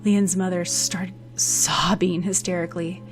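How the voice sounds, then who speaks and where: Woman, slightly low voice, dramatic story narration, audiobook reading, music behind. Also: fairly even intonation, a little slow. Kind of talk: dramatic scripted narration of fiction.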